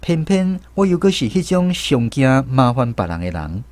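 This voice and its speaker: A man's voice: medium pitch, sing-song, normal speed, darkish tone, no echo, natural voice. A man with a Taiwanese accent playing a reflective film director who narrates his memories in a radio drama. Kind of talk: scripted first-person radio drama narration. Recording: music bed under the voice. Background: instrumental music.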